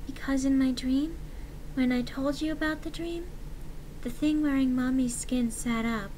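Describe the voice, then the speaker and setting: Woman acting as a frightened little girl, high childlike voice, timid and hushed, horror storytelling, faint background noise. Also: unhurried, poor audio. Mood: scared, timid. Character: frightened little girl, sleepy daughter waking her father.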